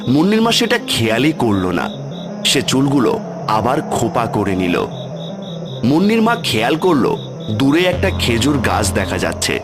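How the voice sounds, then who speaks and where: A man's voice: medium pitch, wildly swooping pitch, measured pace. A man narrating a scripted horror story as its storyteller. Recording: music bed under the voice. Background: music.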